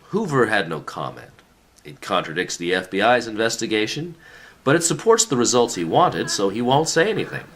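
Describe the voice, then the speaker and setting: Senior government man played by a male actor: older, avuncular and patronizing, lowish voice with big swings, sitcom-style radio comedy. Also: big pitch swings, normal speed, fairly bright tone, husky, full character voice. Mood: confident, smug. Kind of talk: comedic radio play acting.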